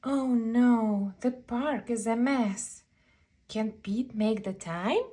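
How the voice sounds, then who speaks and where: A woman reading a picture book aloud for children, expressively, with drawn-out emphasis on phrases. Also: on the high side, dark tone. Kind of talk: animated read-aloud picture book narration.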